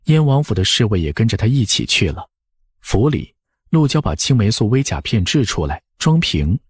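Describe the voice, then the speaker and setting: A man's voice: on the low side, breathy edge, dry recording, clean studio sound. A man reading a scripted novel narration. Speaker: audiobook narrator.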